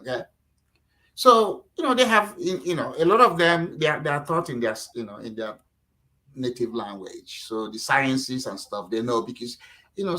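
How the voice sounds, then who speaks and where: A man's voice: mid-range voice, pushed and bright, a little hiss or hum. A man in a casual talk show discussion, rambling with many fillers and restarts.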